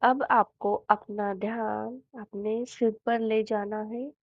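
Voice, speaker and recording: A woman with an Indian accent narrating a guided meditation in a soothing, unhurried manner, leaving long pauses between phrases. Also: medium pitch, steady tone, no echo. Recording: thin, phone-like narrow band.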